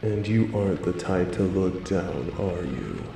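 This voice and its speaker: A man playing a playful, sinister supervillain in an audio drama, teasing and gloating with theatrical, drawn-out emphasis. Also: deep voice, animated, vocal fry, roomy sound, full character voice. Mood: teasing menace. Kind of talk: scripted audio roleplay villain monologue.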